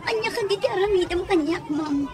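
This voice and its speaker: Very high-pitched, frightened Ilocano girl captive. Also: lively intonation, brisk, crowd noise, background noise. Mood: nervous. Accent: Filipino, Ilocano. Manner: timid, plaintive, deferential. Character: frightened, meek young female captive pleading with her captor. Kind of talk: radio drama acting.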